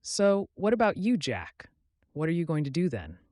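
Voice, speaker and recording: A man's voice: fairly high pitch, wildly swooping pitch, normal speed, a little mellow, no echo, studio quality, voice pushed up. A man voicing a sarcastic, torn young engaged woman in story dialogue, with an emphatic delivery. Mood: teasing.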